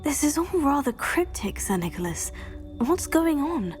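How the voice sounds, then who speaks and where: A curious teenage witch, speaking. She is courteous and inquisitive, a student heroine in a fantasy game, with music clearly playing behind her. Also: high-pitched, sing-song, a little slow, darkish tone. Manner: polite, inquisitive. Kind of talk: video game character acting.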